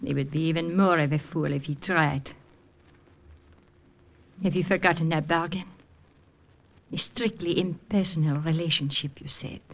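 An actress playing an alluring, teasing Frenchwoman with a French accent and a measured, gently mocking way of talking, in 1940s-50s radio drama style. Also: low voice, fairly even intonation. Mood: cool, mocking.

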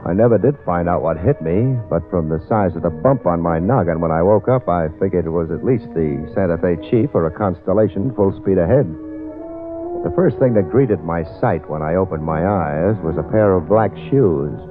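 A man's voice: deep voice, normal speed, lightly acted. An actor playing a sarcastic hard-boiled male private eye in a 1940s radio drama, narrating and trading dry wisecracks. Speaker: detective narrator and lead. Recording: tinny old radio broadcast with music.

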